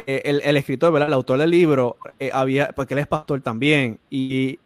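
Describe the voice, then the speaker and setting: Man, interview host, preachy, repeats himself, dry close sound, mid pitch. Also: fairly even intonation, brisk, dry recording. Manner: preachy, repeats phrases for emphasis.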